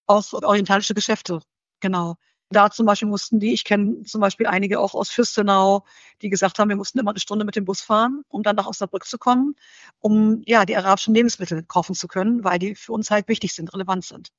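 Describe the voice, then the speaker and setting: A woman answering interview questions thoughtfully, in a measured way that often pauses to search for the right word. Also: mid-range voice, steady tone, no echo. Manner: measured, searching for words, frequent fillers. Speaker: interview guest. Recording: slightly dull dry recording.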